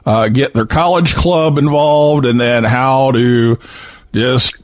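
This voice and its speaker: A man's voice: medium pitch, fairly even intonation, takes it slowly, dry recording. A man hosting a show in a casual, joking, chatty style. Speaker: podcast host. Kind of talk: casual chat.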